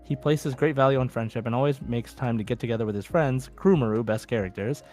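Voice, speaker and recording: A man's voice: mid-range voice, fast talker, a little mellow, faint music behind. A man hosting a podcast in casual chat, reading out listener messages with informal, goofy asides. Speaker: podcast host.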